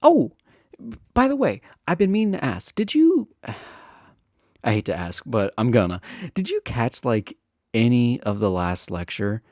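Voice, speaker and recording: Casting: male actor as popular college guy, chatty and teasing, easygoing flirt, unhurried delivery with lively pitch swings, romantic audio roleplay. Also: dry recording, clean studio sound. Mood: casual, exasperated. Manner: chatty, teasing, hesitant filler-laden casual phrasing. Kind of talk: romantic roleplay audio acting, casual intimate chat.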